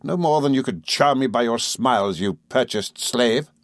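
A man reading a classic novel, voicing a hateful, imperious gentlewoman with a cold, stern, sharp tone and contemptuous manner. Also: mid-range voice, lively intonation, unhurried, dry recording, studio quality, voice pushed up, natural voice. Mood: cruel scorn. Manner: contemptuous, resolute, theatrically fierce. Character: hateful, imperious, scornful young gentlewoman villain.

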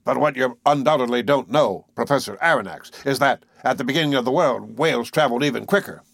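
A man voicing an excitable, boastful Canadian whale harpooner in an audiobook, blunt and emphatic. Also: mid-range voice, fast, gravelly, slightly rough, no room sound, studio quality. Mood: earnest storytelling. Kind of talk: audiobook character voice.

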